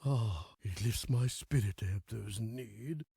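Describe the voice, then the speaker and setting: Sly gluttonous older gangster, video game villain, heavy gravel, wheezy breath, oily pompous phrasing, dry recording. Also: male voice, on the low side, measured pace, warm tone, no echo, full character voice. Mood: scheming, smug. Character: greedy, sly, gluttonous older male crime boss. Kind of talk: video game cutscene acting.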